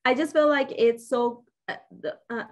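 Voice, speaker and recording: A woman's voice: sits high, fairly even intonation, normal speed. A young woman co-hosting a podcast in casual chat, easygoing and often agreeing with short replies. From Mexican heritage. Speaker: podcast co-host.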